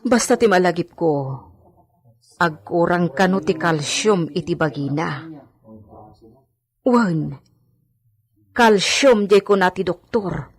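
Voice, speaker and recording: A woman's voice: lowish voice, animated, slow pace, long pauses. An actress with a Filipino accent playing an anxious, motherly household servant in a radio drama, fretful and cautioning. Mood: worried.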